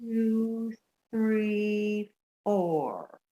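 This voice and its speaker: A woman's voice: medium pitch, lively intonation, drawn-out words, warm tone, reverberant, muffled recording. A woman voicing a childlike, dim, easily frightened young woman in a radio comedy. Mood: naive, earnest. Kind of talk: 1950s radio sitcom reading.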